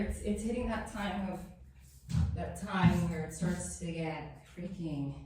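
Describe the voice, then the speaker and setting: A woman's voice: fairly low pitch, heavy background noise. A woman from the New Jersey and New York City area with a northeastern American accent, giving a chatty, rambling tarot reading to camera at home. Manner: chatty, rambling, frequent "like" and restarts. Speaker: tarot reader. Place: hot room at home.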